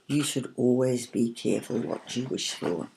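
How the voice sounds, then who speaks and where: A woman voicing a gentle, solemn little cloud in a children's story, speaking softly and carefully, at times in a whisper. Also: extremely low pitch, animated, vocal fry, a little hiss or hum, voice pushed down, heavily acted, rough recording. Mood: gentle warning. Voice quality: soft, hushed, partly whispered. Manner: slow, careful, earnest.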